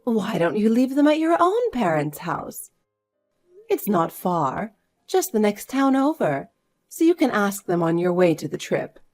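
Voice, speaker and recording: Woman voicing a slow, caring, firm grandmother. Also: mid-range voice, very animated, a little mellow, faint music behind. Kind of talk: acted family story dialogue.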